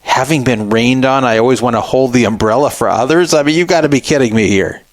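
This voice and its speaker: A man's voice: mid-range voice, quick pace, no room sound. A man hosting a talk radio show, giving opinionated commentary and scoffing sarcastically at a statement he reads aloud.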